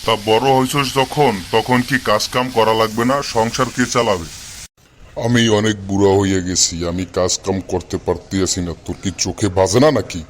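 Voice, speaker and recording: A man's voice: fairly low pitch, steady tone, normal speed, a little hiss or hum, close to an everyday voice. A man playing a weary, complaining old father in a cartoon, with a Bangladeshi accent. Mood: scolding. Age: older. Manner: complaining, reproachful, lamenting. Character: weary, scolding old father of a poor family. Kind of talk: amateur cartoon voice acting in colloquial Bengali.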